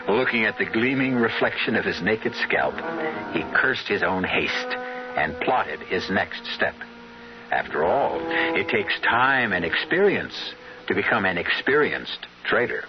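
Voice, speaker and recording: A man playing a wry, ominous storyteller, narrating a radio mystery in a measured, theatrical way. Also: lowish voice, very animated. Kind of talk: dramatic scripted narration. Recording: dull old radio recording. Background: music.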